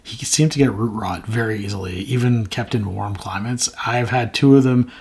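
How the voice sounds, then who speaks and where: A man's voice: lowish voice, moderate pace, darkish tone, husky. A man with a sore throat, chatting casually and frankly to camera as the host of a plant channel. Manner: rambling, frank, self-mocking.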